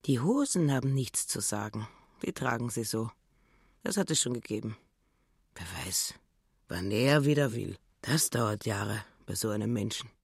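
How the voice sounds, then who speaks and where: An actress in a radio play voicing a self-righteous, grasping housekeeper wife who complains in plain words. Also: female voice, a bass voice, expressive, normal speed, husky, exaggerated voice. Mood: scornful grumbling. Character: self-pitying, grasping, grumbling housekeeper wife, no longer young. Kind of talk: German radio play acting.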